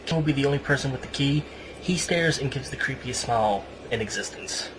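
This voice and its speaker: A man's voice: medium pitch, a little fast, noisy background. A man hosting a podcast, giving a casual recap of a show with banter. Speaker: podcast co-host.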